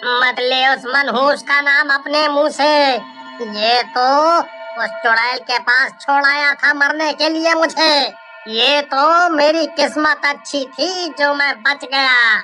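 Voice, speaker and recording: A woman's voice: high-pitched, steady tone, pushed and bright, soft background music. A woman voicing a cheeky, gullible village husband in a cartoon story, emphatic and wheedling. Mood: angry.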